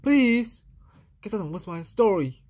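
A woman's voice: mid-range voice, lively intonation, slow, darkish tone. A woman voicing a fussy, dramatic little boy from a storybook, exclamatory and easily exasperated. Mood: indignant. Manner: exclamatory, indignant.

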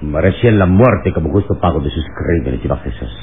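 Noble radio adventure hero, low voice that swings a lot, solemn and commanding, tinny. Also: male voice, expressive. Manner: solemn, deliberate, declamatory. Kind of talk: Mexican radionovela acting. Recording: tinny old radio recording with hiss.

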